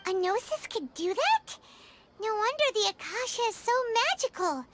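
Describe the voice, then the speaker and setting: Tiny squeaky fairy companion. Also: female voice, moderate pace. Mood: pondering. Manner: chirpy, expressive. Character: small childlike floating fairy companion, chirpy, excitable and inquisitive. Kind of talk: English video game voice acting. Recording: clear background noise.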